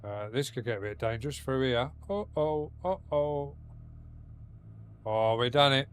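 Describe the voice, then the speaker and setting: A man's voice: medium pitch, measured pace, clear, resonant tone. A man streaming a game from his home setup, talking casually with a blocked nose and a rambling, sweary manner. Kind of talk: casual live-stream chat while gaming.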